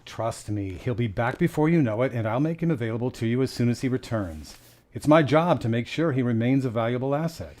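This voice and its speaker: A man's voice: medium pitch, lively intonation, a little fast, darkish tone, natural voice. An actor playing a dutiful, unflappable male intelligence officer in an audio drama, formal and controlled. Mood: smooth, deferential.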